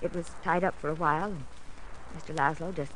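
A woman's voice: low voice, steady tone, fairly quick, fairly bright tone, poor audio. An American actress playing a frightened, evasive young woman, earnest and anxious, in 1940s radio drama style. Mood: evasive, defensive. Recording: old radio recording, faint noise.

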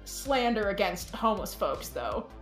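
A woman's voice: mid-range voice, very animated, measured pace. A woman chatting casually as a podcast guest, joking with a sardonic, mock-scolding manner. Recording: roomy, echoing sound with faint music.

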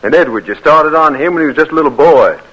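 An actor playing a bitter, cynical Southern cousin in a 1940s radio drama, speaking in a sneering, accusing way. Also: male voice, fairly high pitch, expressive, fast, fairly bright tone, husky, highs cut off.

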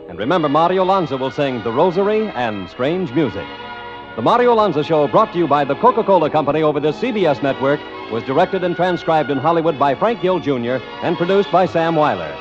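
An American man announces an old radio show in a scripted style, his fairly high voice swinging widely in pitch. The vintage broadcast sound is dull, with music playing behind him. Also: lively intonation, fairly quick.

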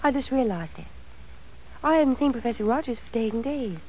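An actress playing a dreamy, affectionate young wife who talks in a wistful, musing way, in 1940s radio style. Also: female voice, a little high, animated, brisk, darkish tone, a little hiss or hum, lightly acted, poor audio.

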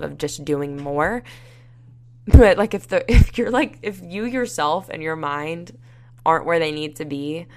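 Raspy young woman, low voice, tired, casual solo podcast talk full of fillers. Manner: rambling, many fillers like "like" and "you know". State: tired and dehydrated. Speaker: podcast host.

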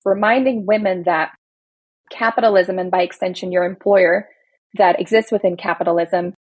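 A woman's voice: lowish voice, fairly even intonation, moderate pace, slightly rough, no room sound, poor audio. A woman from Belgium, an attorney, explaining workplace rights in an earnest, casual podcast chat. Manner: earnest, explanatory, speaks in long building lists. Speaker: employment attorney guest.

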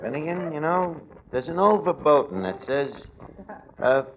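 An actor in an old radio comedy playing a scheming tavern manager with a New York accent, pompously mangling big words. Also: male voice, on the high side, lively intonation, unhurried, a loud crowd. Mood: wistful, philosophical. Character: scheming, malapropism-spouting male manager of a shabby tavern. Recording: noisy, dull old radio recording.

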